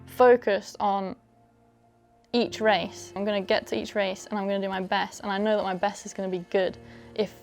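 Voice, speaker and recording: A young adult woman racer reflecting in a documentary interview, self-described as having a monotone voice. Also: mid-range voice, normal speed, creaky, faint music behind. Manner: reflective, says her voice is monotone.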